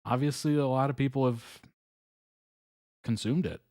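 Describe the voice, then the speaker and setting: A man hosting a live reaction stream, chatting casually, reading chat messages aloud, with frequent ums and soft chuckles. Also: medium pitch, normal speed, no echo, clean studio sound. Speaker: host reacting to videos live.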